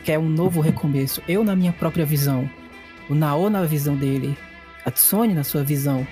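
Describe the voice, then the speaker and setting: A man playing a tabletop RPG, speaking in character with a deliberate, argumentative manner. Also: on the high side, mellow, faint music behind. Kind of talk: improvised in-character roleplay, casual table talk.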